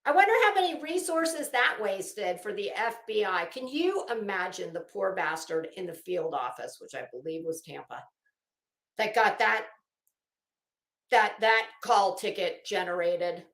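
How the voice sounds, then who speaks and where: Slow-talking, sarcastic woman in an echoey room. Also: medium pitch, lively intonation, fairly bright tone. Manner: sarcastic, taunting, theatrical emphasis. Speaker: YouTube commentary host. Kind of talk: unscripted opinionated commentary rant.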